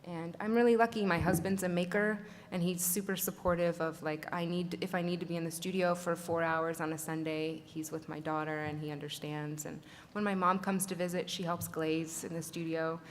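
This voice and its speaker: A woman with a lowish voice speaks at a panel, sounding grateful and pausing often on fillers. The sound rings with a long reverb, and a faint noise sits behind it. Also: little pitch movement. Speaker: artist presenting at a panel. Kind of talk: conference talk.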